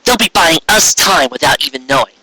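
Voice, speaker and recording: Guy doing a stubborn blunt girl pony in a story, pushy, bright and raspy. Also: a little high, animated, fairly quick, no echo, full character voice, poor audio. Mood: determined.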